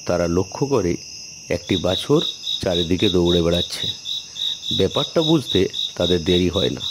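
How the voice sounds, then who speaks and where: Lowish male story narrator, noisy background. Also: expressive, normal speed. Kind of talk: scripted cartoon story narration.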